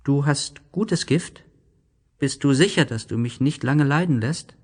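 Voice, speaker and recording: Man playing an earnest little prince, pitched high. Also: measured pace, a little mellow, breathy edge. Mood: anxious, grave. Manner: solemn, childlike questioning and reassurance. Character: small boy prince, earnest, gentle, wistful. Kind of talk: literary radio play.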